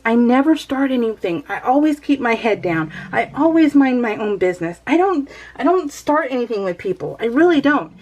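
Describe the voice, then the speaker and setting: A woman's voice: mid-range voice, low-quality recording. A middle-aged American woman chatting casually as a vlogger, telling personal stories in a rambling, emphatic way with exasperated asides.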